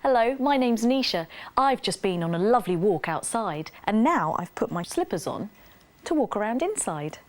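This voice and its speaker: Woman storyteller with lively, swooping pitch. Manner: expressive, sing-song rhyming delivery with dramatic whispers and stretched words. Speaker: storyteller and presenter. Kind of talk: scripted children's bedtime storytelling.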